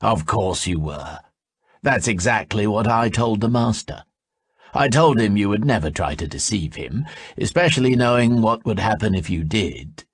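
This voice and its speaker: A man voicing a smooth, menacing young male envoy who speaks low, level and slow. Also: animated, dry recording. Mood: silkily menacing.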